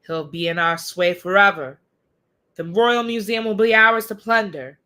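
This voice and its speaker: Casting: male actor as older cult leader and father, audio drama. Forceful, sharp-edged tone, pompous, incantatory, born to command. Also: high voice, a little slow, smooth. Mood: triumphant, scheming. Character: grandiose, imperious older father, occult order leader. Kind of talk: occult audio drama acting.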